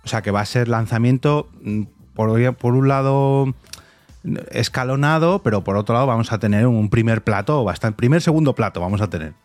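A man's voice: mid-range voice, normal speed, a little mellow, dry recording, faint music behind. Middle-aged Spanish man hosting a podcast, close dry sound. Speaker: podcast host. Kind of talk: conversational podcast presenting and interviewing.

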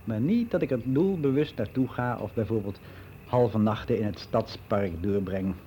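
A man's voice: on the low side, moderate pace, warm tone, slight background noise, lightly acted. An actor playing a candid, reflective older man, confiding matter-of-factly in a conversational radio drama monologue. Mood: matter-of-fact, musing. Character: candid, reflective ageing man, worldly and unbelieving.

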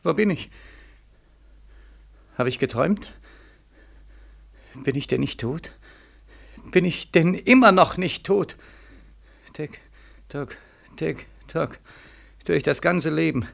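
An actor in a 1940s German radio drama playing a haunted, guilt-ridden, desperate war returnee, theatrical and staccato, swinging between hushed and frantic. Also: male voice, on the high side, slow pace, gravelly, pauses often, heavily acted. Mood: dazed anguish. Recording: 1940s radio studio recording.

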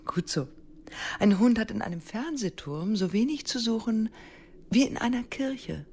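An actress playing a vain, deranged artist killer in a radio crime drama, cool and eerily assured. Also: female voice, a little high, lively intonation, unhurried, a little mellow, a little hiss or hum. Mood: calm, menacing.

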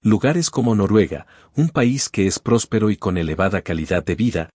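Cuban man reading an audiobook, lowish husky voice, steady and earnest, middle-aged. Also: no echo, studio quality. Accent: Cuban Spanish. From Cuba. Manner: earnest, steady reading with long listing phrases. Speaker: audiobook narrator.

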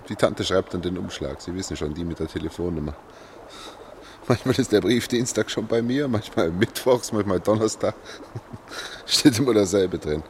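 Deep-voiced, wistful father. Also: normal speed, slight background noise. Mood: wistful, tender. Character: lonely, wistful father clinging to his son's letters. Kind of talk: German radio play monologue.

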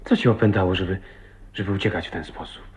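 An actor playing a tender, earnest husband in a radio drama, with a husky voice and an intimate, unhurried manner. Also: male voice, sits low, steady tone, a little mellow. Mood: tender reproach. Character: tender, earnest husband, a lonely millionaire's son. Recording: dull, muffled old radio recording.

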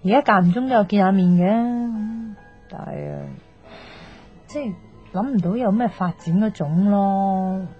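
A woman's voice: medium pitch, a little slow, close to an everyday voice. A woman plays a blunt young best friend in a Hong Kong Cantonese radio drama. Her mid-range voice is chatty and teasing, full of mock exasperation. The sound is slightly dull, with music underneath. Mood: chatty, rueful. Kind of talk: naturalistic radio drama acting.

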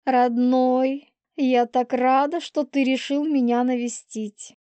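A woman's voice: high voice, little pitch movement, slow pace, dark tone, clean tone, no echo. An actress playing a manipulative pregnant young werewolf woman in an audiobook, spiteful, bitter, taunting and theatrical. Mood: sweetly fawning. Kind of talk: dramatised audiobook dialogue.

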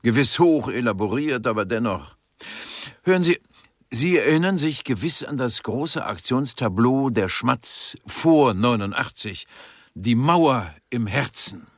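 Pompous, slow, husky art critic. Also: male voice, mid-range voice, lively intonation, no echo, studio quality. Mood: disdainful, pompous. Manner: pompous, lecturing, learned Latinate vocabulary. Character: pompous, indignant male German art critic in Rome. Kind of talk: grotesque radio crime play.

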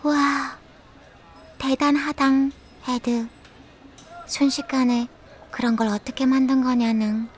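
A woman's voice: sits high, little pitch movement, slow pace, long pauses. A woman playing a playful, teasing young girl with cutesy, quirky speech in an audio drama. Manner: cutesy, casual. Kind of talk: Korean audio drama acting.

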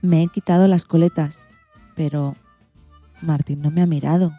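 Dreamy little girl for children's radio play adult woman acting, wistful and simple, lowish, very soft-toned, faint music. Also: steady tone, normal speed. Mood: dejected. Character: dreamy lovestruck little girl who hums to herself.

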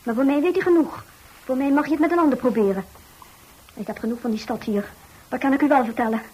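A woman's voice: high voice, fast, warm tone, husky, low-quality recording. A woman acting a tough, stubborn working-class young woman in a radio drama, speaking bluntly and colloquially. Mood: defiant. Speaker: radio actor.

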